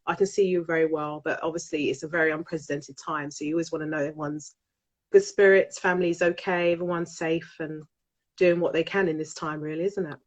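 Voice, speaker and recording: A woman's voice: low voice, fairly even intonation, a little fast. A British woman with a nasal, congested voice from a cold, hosting a live online chat show in an effusive way, often repeating her phrases. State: has a cold. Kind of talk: casual live chat interview. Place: live video call.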